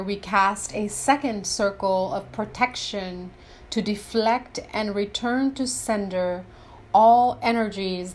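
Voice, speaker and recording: A woman leading a scripted guided meditation in a deliberate, reverent, instructional way. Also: mid-range voice.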